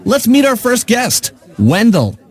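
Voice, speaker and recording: An actor playing a glib, mock-earnest daytime talk show host in a video game, presenting theatrically. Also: male voice, very high voice, huge pitch swings, measured pace, background noise, full character voice. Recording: music playing behind. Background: music.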